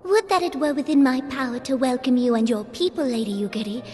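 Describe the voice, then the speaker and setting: An actress playing a regal, gentle female ruler in a fantasy game, speaking formally and with regret. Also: high voice, fast, close to an everyday voice. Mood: regretful. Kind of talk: dramatic fantasy game dialogue. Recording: long hall reverb with faint music.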